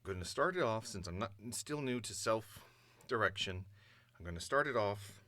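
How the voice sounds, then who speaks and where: A man's voice: lowish voice, lively intonation, moderate pace, pushed and bright, dry recording. A man chatting casually and thinking aloud as an amateur voice actor in a recording booth.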